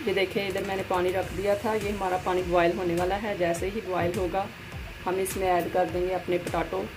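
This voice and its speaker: A woman's voice: lowish voice, level tone, fairly quick, noisy background. A woman gives a plain, instructional step-by-step recipe voice-over as a home cooking host. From Pakistan. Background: music behind the voice.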